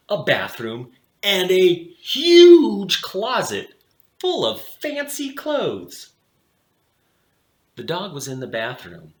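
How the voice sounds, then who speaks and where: A man reads a children's storybook in a theatrical, highly animated way. He takes his time, pausing deliberately for drama as his pitch rises and dips. The room adds a noticeable echo around his voice. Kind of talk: expressive read-aloud of a children's picture book. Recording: roomy, echoing room sound.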